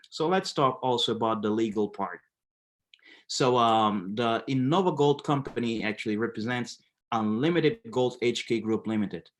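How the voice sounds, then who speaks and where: A man from Haiti presenting a business webinar, with many fillers and halting restarts. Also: lowish voice.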